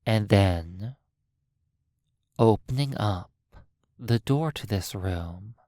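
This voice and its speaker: A hypnotist guides a sleep session at an extremely slow pace. The delivery is soothing and broken by long pauses. The recording is dry, with very little room sound. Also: low-pitched, lively intonation, dark tone, dry recording. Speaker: hypnotist narrator.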